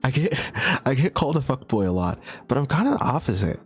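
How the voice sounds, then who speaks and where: A young man chatting casually on a livestream, answering viewer questions in a relaxed, chatty way with frequent laughs and fillers. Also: medium pitch, fast, breathy edge, soft background music. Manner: laid-back, chatty, laughs often, many fillers. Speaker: streamer.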